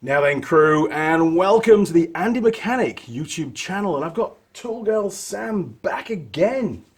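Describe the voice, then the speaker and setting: A man, hoarse from a cold, hosting his workshop channel and chatting casually through a gearbox teardown with plenty of fillers. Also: high-pitched, a little slow. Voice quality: husky. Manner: chatty, relaxed, rambling with fillers.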